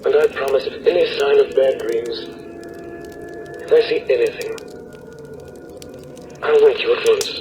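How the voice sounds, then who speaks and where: A man's voice: mid-range voice, a little slow, long pauses, music in the background, close to an everyday voice. An actor playing an earnest, anguished doctor who narrates a horror tale from his diary in dramatic 1930s radio style, on an old noisy broadcast recording. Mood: tender, reassuring.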